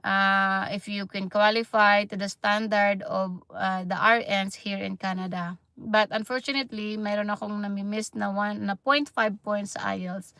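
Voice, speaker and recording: A woman's voice: medium pitch, level tone, gravelly, pushed and bright, dry recording. A woman in her thirties from the Philippines with a Filipino accent, chatting on a livestream with many fillers and restarts. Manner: halting, many fillers and restarts, laughs often. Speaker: livestream vlogger. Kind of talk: casual livestream chat.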